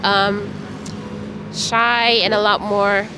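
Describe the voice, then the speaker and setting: A woman's voice: mid-range voice, flat delivery, very slow. A young woman from Saint Vincent and the Grenadines, answering street interview questions in a friendly, hesitant way with many fillers. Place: city street outdoors. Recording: street noise. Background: traffic and street noise.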